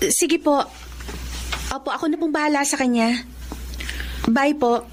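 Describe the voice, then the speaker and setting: A woman playing a sensible, concerned young Filipino best friend in a radio drama, gently admonishing. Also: sits high, unhurried, loud music behind the voice, rough recording. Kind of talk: Tagalog radio drama acting.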